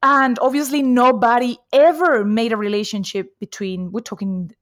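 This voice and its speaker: A woman's voice: on the high side, steady tone, normal speed, no room sound, clean studio sound. A woman in her forties from Colombia, a native Spanish speaker with a mixed Colombian and Spanish-tinged accent, chatting as a podcast guest and laughing often. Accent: mixed Colombian and Spanish-influenced English. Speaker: guest, nutritional therapist. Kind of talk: casual podcast conversation.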